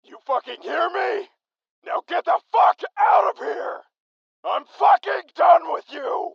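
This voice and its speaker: An actor playing an enraged, foul-mouthed raider gang boss who shouts cruel, vengeful threats in an audio drama. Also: male voice, very high-pitched, animated, takes it slowly, creaky, dry recording, lo-fi sound, a faint crowd, exaggerated voice. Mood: furious. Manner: shouting, swearing, menacing. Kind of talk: post-apocalyptic audio drama acting.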